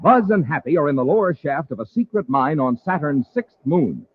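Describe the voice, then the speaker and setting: A man's voice: fairly high pitch, very animated, normal speed. A man with an American accent announcing and narrating in an emphatic, showy 1950s radio style. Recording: muffled, faintly hissy old radio.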